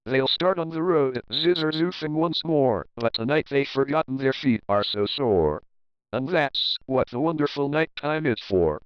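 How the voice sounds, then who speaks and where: A man's voice: mid-range voice, expressive, a little slow, smooth, dry recording, highs cut off. A man narrating a children's picture book as a readalong, reading rhyming verse expressively and rhythmically. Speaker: storybook narrator.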